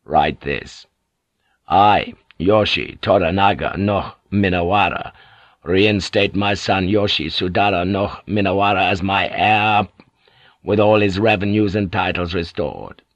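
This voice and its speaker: A man voicing a powerful older warlord who gives curt orders in a flat voice. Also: deep voice, unhurried, dry recording, highs cut off. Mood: flat commanding. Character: powerful older male warlord, calm and commanding.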